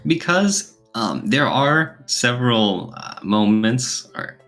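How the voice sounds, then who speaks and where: A man's voice: mid-range voice, sing-song, slow, fairly bright tone, soft background music. A man co-hosting a podcast, talking casually in a thoughtful, explanatory way. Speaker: podcast co-host. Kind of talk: casual podcast discussion, reviewing.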